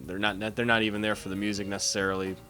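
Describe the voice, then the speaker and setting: A man with a fairly low but bright, forward voice asks questions in an interview. He hesitates and restarts his sentences before getting to the point. Faint music sits in the background. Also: level tone, fast. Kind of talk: casual interview.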